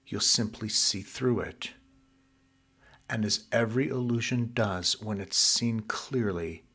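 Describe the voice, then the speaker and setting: A man narrating guided sleep hypnosis in a soothing, deliberate and measured way. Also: fairly low pitch, a bit bright, breathy edge.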